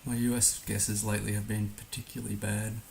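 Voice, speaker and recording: A hoarse man musing hesitantly aloud as he gives casual live commentary on a game he is streaming. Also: lowish voice, little pitch movement, a little fast, a little mellow. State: hoarse, recovering from being under the weather. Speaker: livestream host playing a geography guessing game. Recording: noticeable background hiss.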